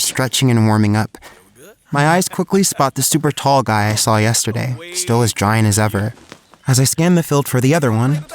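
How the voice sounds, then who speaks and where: A man's voice: medium pitch, close to an everyday voice. An actor playing a shy, anxious young male student, narrating in the first person in an audio drama with an earnest, introspective manner. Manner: earnest, introspective, hesitant in dialogue.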